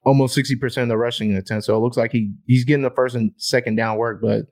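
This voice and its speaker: A man's voice: medium pitch, steady tone, quick pace, darkish tone. A man co-hosting a fantasy football podcast in casual chat, reeling off numbers and repeating words as he thinks. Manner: stat-heavy, repeats words while thinking.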